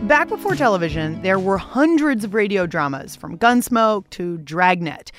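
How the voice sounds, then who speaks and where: A woman's voice: medium pitch, very animated, moderate pace, over music. A woman hosting a radio show, reading chatty scripted narration with a playful, cheeky manner. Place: radio studio.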